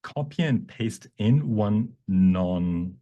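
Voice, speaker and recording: A man with a low voice leads a live workshop demonstration on his computer. He explains step by step, haltingly, as he works through the material. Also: lively intonation, dark tone, muffled recording. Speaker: workshop presenter. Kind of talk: live software demonstration talk. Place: online presentation at a computer.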